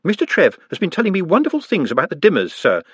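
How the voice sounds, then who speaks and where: A man voicing a thin, gentle goblin who speaks formally and apologizes a lot. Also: sits high, huge pitch swings, fast talker, breathy edge, dry recording, studio quality, voice pushed up, full character voice. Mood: earnest. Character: polite, earnest male goblin, thin and gentle.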